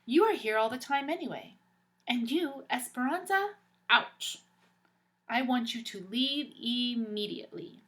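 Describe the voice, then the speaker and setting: A woman's voice: on the high side, lively intonation, measured pace, fairly bright tone, pitched above the performer's own voice. A woman reading aloud and voicing a cold, haughty stepmother who gives icy commands in a storybook. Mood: commanding.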